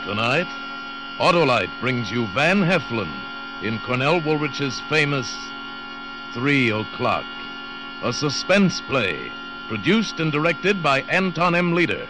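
A man dramatically and deliberately introducing a 1940s radio drama as its announcer. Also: mid-range voice, very animated, low-quality recording. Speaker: program announcer. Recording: vintage 1940s radio broadcast. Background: music.

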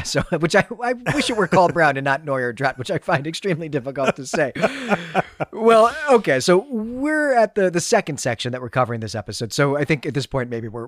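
A man's voice: mid-range voice, a bit bright, dry recording, studio quality. A man co-hosting a podcast, recapping and discussing a story in a thoughtful, analytical, conversational way. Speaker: podcast co-host.

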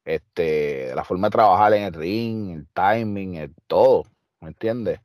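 A man's voice: deep voice, unhurried, a little mellow, dry recording. Man, Puerto Rican accent, streetwise, emphatic, filler-heavy, dry sound. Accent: Puerto Rican Spanish. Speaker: wrestler guest; wrestling trainer. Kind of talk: casual interview storytelling.

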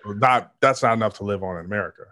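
A man's voice: lowish voice, rapid-fire, sharp tone. A man hosting a casual interview, asking curious questions with many restarts. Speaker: podcast host.

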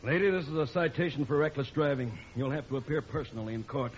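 An actor playing a stern traffic cop, curt and authoritative, in an old radio sitcom. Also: male voice, mid-range voice, quick pace, natural voice. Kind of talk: 1940s-50s radio sitcom acting. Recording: dull, noisy old radio recording.

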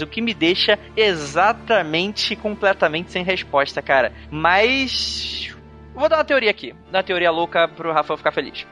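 A man's voice: sits high, pushed and bright. A man co-hosting a podcast, chatting casually in a skeptical, reflective and teasing way. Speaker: podcast co-host. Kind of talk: casual chat.

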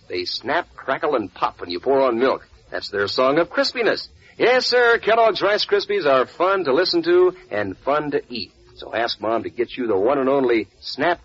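Folksy, swooping vintage radio pitchman. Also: fairly bright tone, breathy edge. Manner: folksy, eager, addressing children. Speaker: commercial pitchman. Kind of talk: 1940s radio advertising. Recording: muffled vintage radio, faint music.

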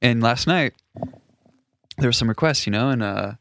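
Relaxed DJ guest, male, Russian native speaker, gravelly, dry mic. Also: medium pitch, moderate pace, no echo. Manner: relaxed, swears, acts out quoted exchanges. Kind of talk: casual chat.

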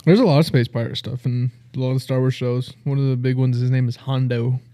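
A man co-hosting a casual podcast, telling stories in a relaxed, easygoing way. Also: medium pitch, fairly quick. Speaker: podcast co-host. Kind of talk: casual chat.

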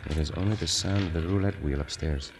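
A man playing a gallant, calm caballero hero in an old radio drama, speaking formal English with Spanish words. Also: rumbling low, fast talker. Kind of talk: 1950s radio drama acting. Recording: noisy old radio recording.

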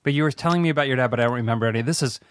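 Man, podcast guest: relaxed, teasing storyteller, middle pitch, dry close mic. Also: racing, no room sound. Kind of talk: casual chat.

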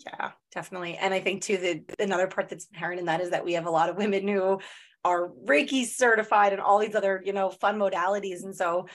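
A woman's voice: mid-range voice, steady tone. A woman in her thirties hosting a podcast, talking in a casual, chatty way full of "like" fillers. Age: 30s. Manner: chatty, lots of "like" fillers, quick bursts. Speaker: podcast host. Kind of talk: casual chat.

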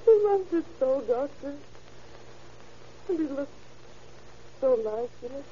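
A grieving mother in a 1930s-style radio play. Her high voice moves slowly and haltingly, tearful and pleading. The old recording is muffled, with audible noise. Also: expressive, mellow. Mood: weeping, bitter. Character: grief-stricken mother of a killed boy.

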